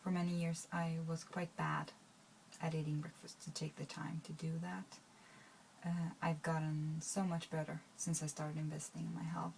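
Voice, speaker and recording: A woman's voice: low voice, little pitch movement, normal speed, gravelly, a little mellow, slight background noise, low-quality recording. A woman, talking to her viewers in a rambling, hesitant way with many fillers and restarts. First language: Swedish. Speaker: vlogger. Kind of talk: casual talk to camera.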